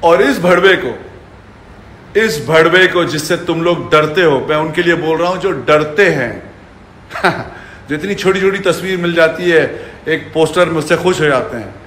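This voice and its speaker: A man with a fairly high voice delivers an angry social media rant. He is confrontational and taunting, and swears freely. The phone held at arm's length picks up the echo of the room around him. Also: unhurried, a bit bright, slight background noise. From India. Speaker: fitness personality. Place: furnished living room indoors. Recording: echoey indoor room.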